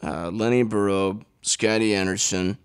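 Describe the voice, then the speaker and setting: Raspy-voiced man, smooth low tone. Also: steady tone, measured pace. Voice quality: raspy, hoarse. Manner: laid-back, laughs often, many fillers. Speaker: guitarist guest. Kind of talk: casual interview chat. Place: small room indoors with guitar. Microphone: studio mic on stand.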